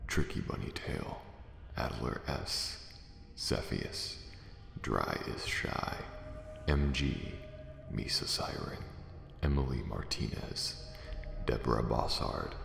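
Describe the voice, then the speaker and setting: A man with a deep, gravelly voice reading a scripted channel outro. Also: a bit bright, roomy sound, long reverb tail. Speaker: writer and voice actor of the channel.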